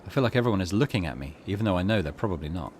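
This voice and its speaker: A man narrates and comments on a story game as a channel host. His voice sits on the low side but moves in a very animated way. Musing asides break up the reading, over faint background ambience. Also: racing, slight background noise. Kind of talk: let's play commentary and reading game text aloud.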